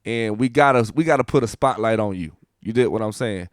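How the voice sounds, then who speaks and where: A man's voice: fairly low pitch, fairly quick, no room sound, clean studio sound. A man hosting a casual radio interview, talking in a laid-back, slangy way and often repeating his phrases. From Columbus, Ohio. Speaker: radio show host.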